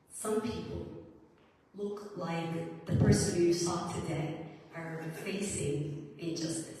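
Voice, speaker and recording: A woman from Sudan gives an earnest, halting speech to a live audience as an author and speaker, pausing often with fillers. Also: on the low side, darkish tone. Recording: echoey hall from far off.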